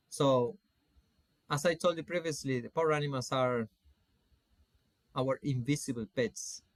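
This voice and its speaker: A man's voice: medium pitch, no echo. Argentine man teaching, close dry sound. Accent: Argentine Spanish. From Salta, Argentina. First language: Spanish. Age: 40s. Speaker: spiritual coach teaching an online course. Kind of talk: informal online lecture with slides.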